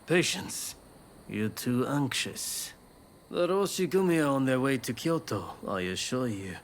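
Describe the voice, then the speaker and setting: A cunning feudal schemer in a video game, unhurried but with a very varied, expressive pitch. He sounds knowing and insinuating, with faint noise in the background. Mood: sly composure. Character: calm, cunning political schemer in feudal Japan. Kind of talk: dramatic video game dialogue.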